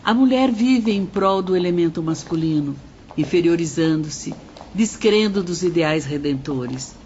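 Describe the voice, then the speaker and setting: A woman's voice: on the low side, animated, measured pace, dark tone. A woman with a Brazilian accent playing a comforting, earnest spirit sister giving moral instruction in an audio drama. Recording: muffled, clearly noisy audio.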